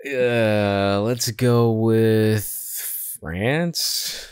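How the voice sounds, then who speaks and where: A man's voice: lowish voice, drawn-out words, clean tone, no room sound, studio quality. A man co-hosting a podcast in casual banter, wry and amused, chipping in with short remarks. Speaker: podcast co-host and quiz contestant.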